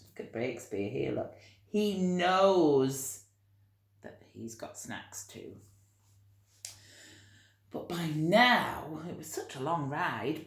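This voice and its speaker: Lady reading a kids story, lowish voice, takes it slow, explains gently, roomy echo. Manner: expressive, gentle explaining for young children. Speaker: storyteller reading a picture book to children. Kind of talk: animated read-aloud storytelling with chatty asides. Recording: roomy, echoey room sound.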